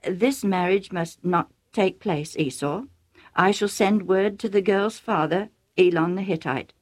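A woman voicing a grave old sheik father who speaks slowly and solemnly. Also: medium pitch, natural voice. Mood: stern.

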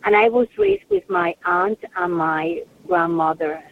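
A woman with a Puerto Rican accent tells her life story as a radio guest, her voice lively and rising and falling, with a gravelly edge. She comes through a thin, tinny phone line. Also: fairly low pitch, a little slow, a little hiss or hum. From Puerto Rico.

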